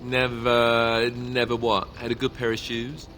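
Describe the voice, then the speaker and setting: An actor playing a young, gallant warrior companion in a fantasy video game, teasing and bashful with a wry mock-innocent delivery. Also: male voice, medium pitch, completely flat delivery, measured pace, background noise, lightly acted. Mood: teasing mock innocence.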